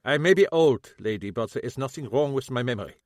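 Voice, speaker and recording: A man voicing a gruff old German cobbler with broken English and a German accent. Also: medium pitch, lively intonation, fast talker, dry recording, studio quality, close to an everyday voice. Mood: prickly. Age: older. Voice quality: clipped broken English, prickly.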